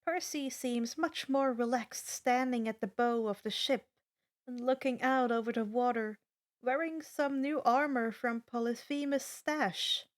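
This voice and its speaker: Woman reading fanfic out loud, higher voice, real slow pace. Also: fairly even intonation. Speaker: fan fiction narrator. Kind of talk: scripted story narration.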